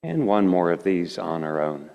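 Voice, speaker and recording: A man leading a guided meditation as a teacher, speaking in gentle, slow phrases with long pauses. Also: low voice, big pitch swings, gravelly, muffled recording. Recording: reverberant hall.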